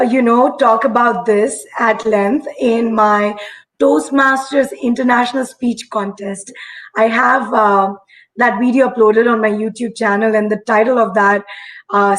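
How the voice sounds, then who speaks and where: A young adult woman from India with a husky voice, a guest telling her story in an earnest, reflective way. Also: a little high, fairly even intonation, a little slow, poor audio. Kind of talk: live-streamed conversation.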